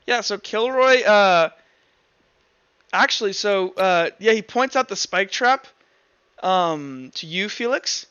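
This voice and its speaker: A man improvising narration as game master of a tabletop roleplay session, halting and thinking aloud as he goes. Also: high-pitched, animated, pushed and bright, clean tone, highs cut off.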